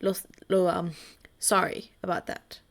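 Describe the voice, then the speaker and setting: Halting, apologetic woman with creaky voice. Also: on the low side, little pitch movement. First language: Spanish. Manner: very halting, apologetic, short fragments. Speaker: vlogger. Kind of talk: hesitant greeting to viewers in Spanish and broken English.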